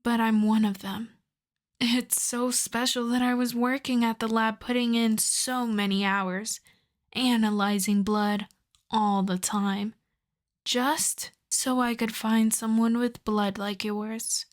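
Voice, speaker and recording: A woman voicing an obsessive, starved female vampire in an ASMR roleplay, speaking to the listener with breathy, possessive savoring. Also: on the high side, steady tone, takes it slowly. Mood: confiding, possessive.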